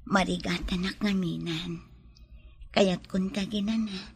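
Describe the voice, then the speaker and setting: An actress playing a pregnant young wife who talks softly and often in a whisper to her husband and her mother-in-law, in a radio drama. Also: medium pitch, fairly even intonation, a little slow, vocal fry. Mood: loving.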